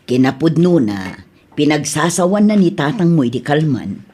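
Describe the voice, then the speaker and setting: A woman playing a calm, tactful older aunt with a husky voice in an Ilocano-accented radio drama. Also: low voice, normal speed, heavily acted. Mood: calm, confiding.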